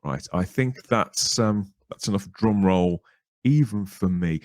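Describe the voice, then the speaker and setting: A man's voice: sits low, slow, a little mellow, no echo, clean studio sound. A man hosting a podcast, introducing and interviewing in a chatty, wordy manner with theatrical presenter phrasing. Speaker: podcast host.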